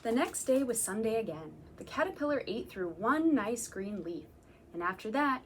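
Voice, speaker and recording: A young woman, a children's librarian, reading a picture book aloud to children in a gentle, slow, sing-song way and counting along. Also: a little high, slight background noise. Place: library room.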